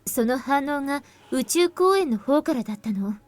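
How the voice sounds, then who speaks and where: Composed, serious high-voiced girl. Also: expressive, fast. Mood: somber, factual. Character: composed, serious teenage girl, grave team fighter. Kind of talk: Japanese visual novel voice acting.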